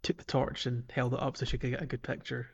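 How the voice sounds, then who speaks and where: A man's voice: mid-range voice, completely flat delivery, quick pace, gravelly, breathy edge, dull audio. A man co-hosting a podcast, chatting casually about a video game in a hesitant, rambling way. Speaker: podcast co-host.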